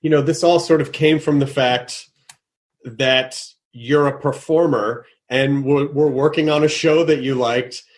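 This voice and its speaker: A middle-aged man hosting a casual interview, thinking aloud with many restarts and growing animated when he acts out what someone might say. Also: medium pitch, unhurried. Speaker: podcast host.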